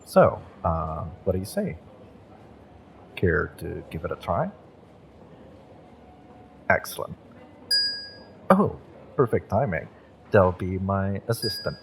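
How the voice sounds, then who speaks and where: Over noticeable background ambience comes a gentle male witch , acted by a man for a roleplay audio. His voice is very low and he takes his time over every phrase. He is a courteous, slightly hesitant magick shop owner who speaks softly to the listener as his customer. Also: sing-song, slow, gravelly, long pauses, noisy background, full character voice. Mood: inviting, courteous.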